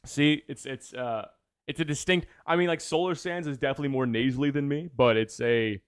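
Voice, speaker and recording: A man's voice: mid-range voice, brisk, slight background noise. A man who makes video essays chatting casually on a livestream in an enthused, rambling way, often correcting himself. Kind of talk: casual livestream chat with viewers.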